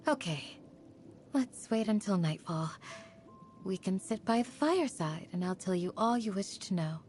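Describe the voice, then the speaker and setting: A composed young female desert guardian, noisy recording. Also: medium pitch. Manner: gentle, earnest, composed in talk. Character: calm, protective young woman guardian of a desert village. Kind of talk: video game character voice lines.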